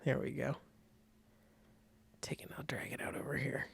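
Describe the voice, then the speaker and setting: A man giving a casual step-by-step software tutorial, thinking aloud informally as he demonstrates. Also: normal speed, in a whisper. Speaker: design tutorial instructor.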